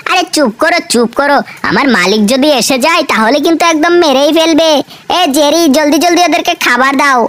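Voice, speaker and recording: Woman voicing cartoon house cat, high voice, exasperated. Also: a little fast, a bit bright. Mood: alarmed. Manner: exasperated, fussing.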